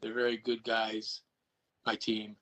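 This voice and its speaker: A man's voice: on the low side, completely flat delivery, slow pace, a bit bright. A man on a video call chatting casually about paranormal investigation, rambling with lots of restarts and repeated words.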